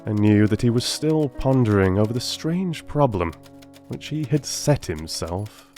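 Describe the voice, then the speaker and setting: A man speaking as an audiobook narrator and producer, in a measured, courteous storytelling style. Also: lowish voice, animated, darkish tone, faint music behind. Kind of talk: measured storytelling and listener address.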